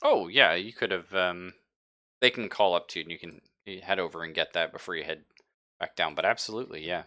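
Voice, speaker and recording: A man acting as game master, narrating casually and haltingly as he thinks aloud. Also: low voice, bright, forceful tone. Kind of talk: casual narration of a tabletop roleplaying game, live stream.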